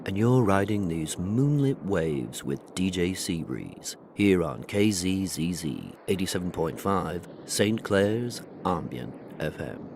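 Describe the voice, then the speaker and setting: A man's voice: sits low, big pitch swings, takes it slowly, hardly any pauses, exaggerated voice. A man playing a languid, soothing, trippy late-night DJ on an ambient FM radio station. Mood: dreamy, soothing. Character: mellow late-night ambient radio DJ, dreamy surfer philosopher. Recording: clearly noisy background ambience.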